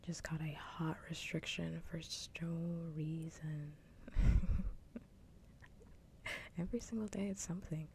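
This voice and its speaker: A woman hosts an ASMR livestream in a soft, breathy whisper. Her voice sits low and mellow, close on the microphone, with a casual, blunt delivery. Faint background noise runs underneath. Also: fairly even intonation, normal speed, hardly any pauses. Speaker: livestream host.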